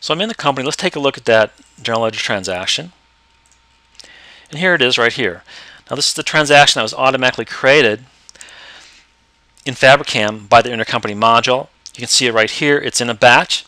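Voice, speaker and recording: A man explaining step by step how to use accounting software in a screen-recorded tutorial. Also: medium pitch, fairly quick, no echo. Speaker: software trainer.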